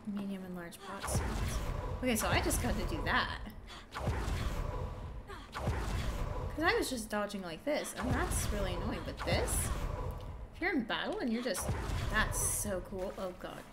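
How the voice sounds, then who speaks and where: Animated woman streaming a video game. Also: mid-range voice, unhurried, long pauses, heavy background noise. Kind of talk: casual live gameplay commentary. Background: video game music.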